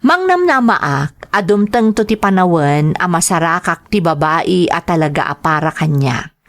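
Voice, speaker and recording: A woman's voice: on the low side, animated, moderate pace, no echo, studio quality. A woman narrating a scripted first-person drama story in an earnest, reflective manner.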